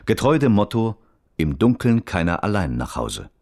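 Deep-voiced sober crime reporter. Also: male voice, very animated, normal speed, a little mellow, dry recording, studio quality. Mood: sober, factual. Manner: precise, formal, matter-of-fact. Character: sober male reporter narrating a crime case. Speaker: narrator of a radio crime play. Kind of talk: sober documentary-style radio report narration.

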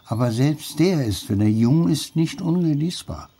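Gravelly, mellow old grandfather. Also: a little high, lively intonation, normal speed. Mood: philosophical. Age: older. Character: wise old grandfather, earthy, musing potato lover. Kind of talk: radio play acting.

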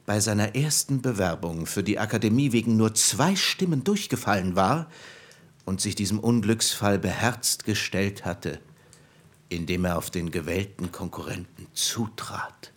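An actor playing a self-important, easily agitated doctor in a radio drama, speaking in a pompous, fussy way. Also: male voice, lowish voice. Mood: pompous reminiscing.

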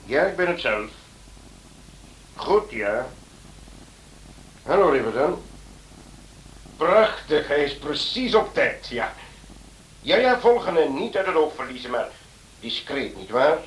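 An actor playing an authoritative, businesslike senior police official in a radio drama, speaking in formal, deliberate phrases. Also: male voice, fairly high pitch, big pitch swings. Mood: brisk, commanding. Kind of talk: Dutch-language radio drama acting. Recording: noisy old radio drama recording.

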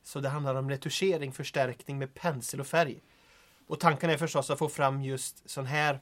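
A man playing a practical, gently teasing sidekick in an old-time radio drama, polite and matter-of-fact. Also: medium pitch, steady tone, slight background noise, lightly acted. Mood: businesslike, encouraging.

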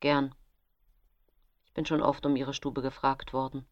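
An actress voicing formal, pointed characters from a nineteenth-century case in a radio play. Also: female voice, rumbling low, flat delivery, a little slow, dry recording, dull audio. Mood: curt. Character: characters quoted in a 19th-century poisoning case, formal and sharp.

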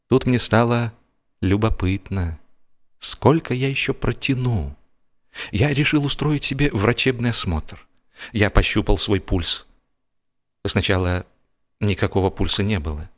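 A man narrating a humorous first-person story in a theatrical, expressive audio-drama style. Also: on the low side. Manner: expressive, acting out comic hesitations and dialogue. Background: music.

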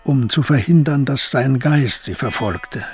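An actor as the narrator of a historical crime radio drama, reading scripted literary third-person prose. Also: male voice, mid-range voice, unhurried, music in the background, lightly acted. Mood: narrating.

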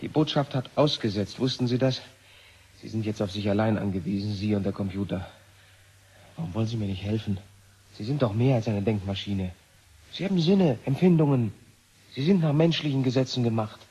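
An actor playing a defiant, idealistic physicist in a 1970s radio drama, intense and argumentative. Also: male voice, fairly low pitch, a little fast, dark tone, husky, lo-fi sound. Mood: urgent, confrontational. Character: defiant male physicist, urgent, pleading, idealistic. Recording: noisy old radio drama recording.

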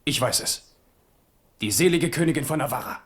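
A man plays a young-hearted warrior king in a vintage German radio play. He is impulsive and bold, his pitch leaping widely around a middling register, moving between hushed and ringing theatrical delivery. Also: expressive, normal speed, lightly acted. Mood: ardent. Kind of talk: 1970s German radio drama acting.

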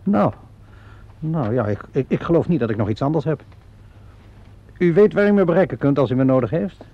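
An actor playing a polite, probing police inspector in a radio drama, halting, musing and understated. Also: male voice, fairly high pitch, lively intonation. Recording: dull lo-fi radio play recording.

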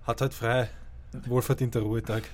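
An actor in a German radio drama playing an anxious, deferential film editing assistant who talks in a casual, colloquial way. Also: male voice, medium pitch, little pitch movement, moderate pace, a little mellow, lightly acted. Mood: uneasy.

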